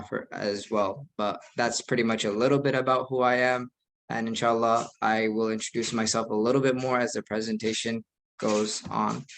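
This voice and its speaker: A young man, an imam raised in the United States, giving an earnest, measured presentation to an online group. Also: fairly low pitch, gravelly, a bit bright. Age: young adult.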